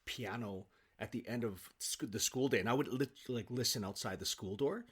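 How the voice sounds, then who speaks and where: A man, probably middle-aged, telling anecdotes casually in an animated, self-deprecating, halting way as an interview guest. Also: medium pitch, husky. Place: home office, on a remote call.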